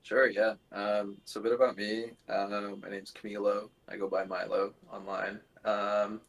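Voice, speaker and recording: A man's voice: lowish voice, level tone, normal speed, fairly bright tone, poor audio. A man from Canada chatting casually as a podcast guest, laid-back and informal. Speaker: podcast guest, event organiser. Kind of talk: casual chat.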